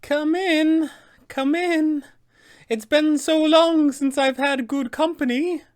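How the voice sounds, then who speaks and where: A man voicing an old, deluded, doting witch mother for a tabletop game, with a sweetly crooning, measured delivery and a softly sinister air. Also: extremely high pitch, fairly even intonation, voice pushed up. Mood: sweetly welcoming.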